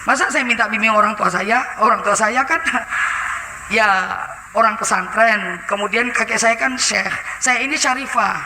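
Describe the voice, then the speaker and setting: A woman's voice: fairly high pitch, unhurried, pushed and bright. A woman from Indonesia giving a conversational church testimony through a face mask, full of hesitations and often turning to address the congregation. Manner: chatty, many hesitations, often addresses the audience. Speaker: lay preacher giving testimony. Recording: echoey hall with background noise.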